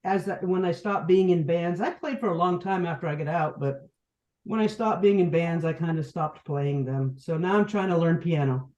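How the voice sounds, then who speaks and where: A woman's voice: lowish voice, moderate pace, darkish tone, echoey room, muffled recording. A middle-aged woman from New Hampshire chatting casually and telling personal stories as a podcast guest. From New Hampshire, United States. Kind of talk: casual chat, personal anecdotes.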